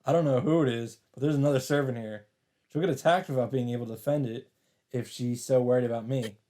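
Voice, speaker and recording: Medium-pitched man narrating a visual novel. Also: steady tone, fast talker, warm tone. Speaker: let's play host reading aloud. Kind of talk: dramatic read-aloud narration of a visual novel. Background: faint game music.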